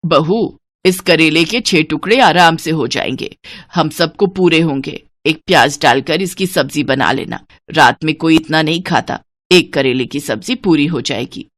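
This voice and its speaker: A woman's voice: low-pitched, measured pace, dry recording, pitched below the performer's own voice. A woman voicing a stingy, cunning older mother-in-law who scolds and gives orders in an audio story. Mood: bossy, frugal.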